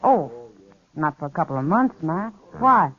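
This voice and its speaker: A woman's voice: sits low, sing-song, normal speed. An actress playing an easygoing, knowing saloon woman, casual and familiar, in 1950s radio western style. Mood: curious. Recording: dull vintage radio recording.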